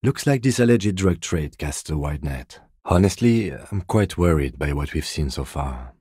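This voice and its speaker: An actor playing a composed, dry police detective in a video game, speaking in a measured, restrained and formal way with exasperation held in check. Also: male voice, extremely low pitch, animated, warm tone, husky, dry recording, heavily acted. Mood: matter-of-fact. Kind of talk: scripted video game dialogue.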